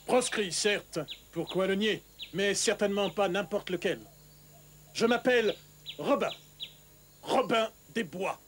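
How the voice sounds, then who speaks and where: Roguish forest outlaw, high voice, confident, declamatory, French cartoon dub, some background noise. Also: male voice, a little slow, long pauses, fairly bright tone. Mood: proud. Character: bold, roguish young outlaw leader of the forest.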